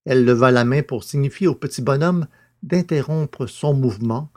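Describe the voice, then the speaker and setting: A man's voice: mid-range voice, moderate pace, darkish tone, soft background music. A man narrating a scripted science fiction story as the narrator of an audio drama.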